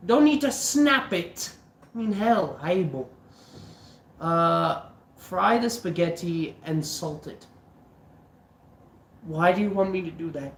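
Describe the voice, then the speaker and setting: A man's voice: high-pitched, animated, takes it slowly, creaky, pauses often, reverberant, a little hiss or hum. A stuffy-nosed South African man hosting a live cooking stream, chatting casually with viewers and swearing now and then. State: stuffy nose. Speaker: livestream cooking host.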